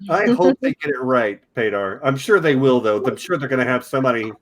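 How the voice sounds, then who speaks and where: A man's voice: medium pitch, big pitch swings, a little fast. A man from Texas with a Texan accent, hosting a casual discussion and often restarting his sentences. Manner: chatty, self-correcting. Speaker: podcast host.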